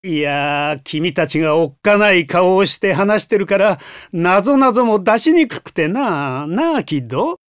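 A man playing an excitable elderly professor in an anime game, talking theatrically with old-man diction. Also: high-pitched, normal speed, hardly any pauses, clear, resonant tone, dry recording, clean studio sound. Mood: jovial.

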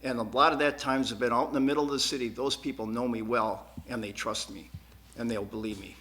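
A man speaks as a candidate at a public forum in a medium-pitched voice. He is earnest and insistent, and his delivery is halting, doubling back on words as he argues. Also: steady tone, sharp tone. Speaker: school board candidate. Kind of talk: answering questions at a candidate forum. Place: TV studio.

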